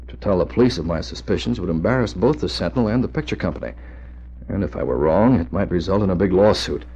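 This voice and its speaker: An actor playing a resolute newspaperman crime fighter in an old radio serial, measured, earnest and well-spoken. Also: deep voice, animated, dark tone, husky, heavily acted. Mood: serious, determined. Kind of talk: 1940s radio acting. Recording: dull, humming old radio recording.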